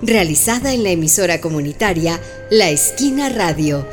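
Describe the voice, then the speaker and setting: A woman's voice: on the low side, lively intonation, moderate pace. A woman reading scripted production credits as a radio announcer. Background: clear background music.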